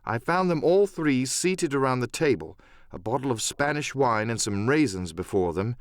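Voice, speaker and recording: A man's voice: mid-range voice, big pitch swings, normal speed, no echo, clean studio sound. A man narrating an adventure story as an audiobook, in a dramatic, scripted storytelling style.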